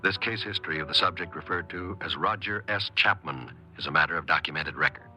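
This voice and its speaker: A man's voice: deep voice, fast talker, pushed and bright, faint music behind. A man announcing a 1950s radio program in grave, formal scripted narration.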